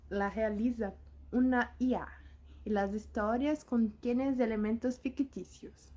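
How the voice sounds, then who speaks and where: A woman hosting a story channel, speaking Spanish as a second language in a careful, slightly halting way. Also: on the high side, warm tone. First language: not Spanish. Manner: careful, slightly halting non-native delivery. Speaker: channel host. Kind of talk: scripted channel intro. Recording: dull lo-fi recording.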